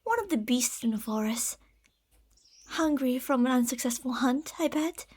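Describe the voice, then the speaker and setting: An obsessive lamia beast-woman, played by a woman, speaks in a high, soft voice. Her phrasing is formal and soothing, dipping into whispers in intimate ASMR roleplay style. Beneath the tenderness she is possessive and deceitful. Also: moderate pace, slightly rough. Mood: soothing, concerned.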